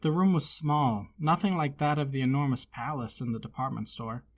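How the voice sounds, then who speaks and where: A man's voice: medium pitch, normal speed, fairly bright tone. Man reading a children's storybook aloud. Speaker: storybook narrator. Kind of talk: expressive children's read-aloud narration.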